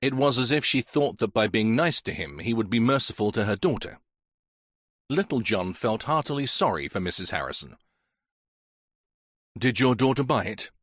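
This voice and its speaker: A man's voice: fairly low pitch, sing-song, brisk, a bit bright, no room sound, clean studio sound. A man narrating a mystery audiobook.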